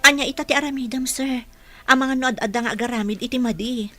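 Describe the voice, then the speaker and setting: A woman's voice: a little high, quick pace, sharp tone. A woman with an Ilocano accent playing a deferential, caring household aide in an audio drama. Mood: concerned, respectful. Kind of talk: radio-style Filipino drama dialogue.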